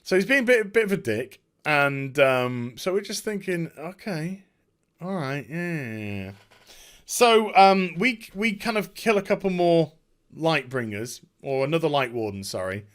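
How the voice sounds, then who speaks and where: A man hosting a gaming video, giving a casual, unscripted recap in a halting, digressive way and often correcting himself. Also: on the high side, sing-song, fairly bright tone.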